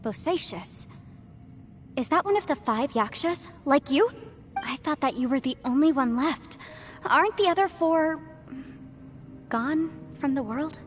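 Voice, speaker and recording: Courteous, higher-voiced young woman. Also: expressive, unhurried, pauses often, faint music behind. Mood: curious, concerned. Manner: courteous, inquisitive. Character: polite, composed young woman of half-divine blood. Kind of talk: video game character acting.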